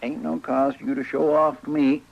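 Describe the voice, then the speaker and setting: A man's voice: fairly high pitch, level tone, normal speed, gravelly, highs cut off. A man acting a fussy, good-natured marshal's helper in an old radio western, talking in a folksy, earnest way with a rural American drawl. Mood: indignant, scolding. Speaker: radio drama actor. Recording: noisy vintage radio recording.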